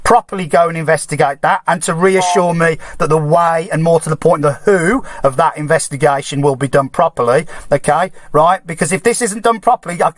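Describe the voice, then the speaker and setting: A man's voice: fairly high pitch, a little fast, few pauses, no room sound. A man speaking as a caller on a formal complaint call, insistent and blunt, repeating phrases for emphasis.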